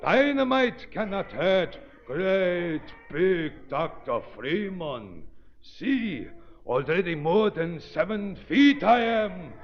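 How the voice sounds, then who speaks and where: Mad scientist turned brute: foreign accent, high-pitched, halting and slow, vain and gullible, vintage radio sound. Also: male voice, big pitch swings, faint music behind, full character voice. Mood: gloating, menacing. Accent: foreign, non-native English. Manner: halting, broken English, gloating. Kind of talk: 1930s radio acting. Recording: noisy, muffled 1930s radio recording.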